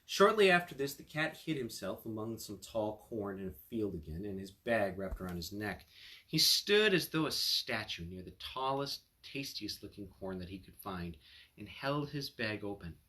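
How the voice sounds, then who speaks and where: A man's voice: on the low side, sing-song, normal speed, pushed and bright. A man reading a fairy tale aloud as a storyteller, with casual asides to his listeners. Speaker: storyteller, attorney.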